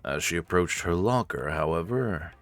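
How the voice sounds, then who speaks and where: A man's voice: low voice, expressive, moderate pace, soft background music. A man narrating a story game in a theatrical, playful way, with casual asides. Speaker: narrator and host reading a visual novel.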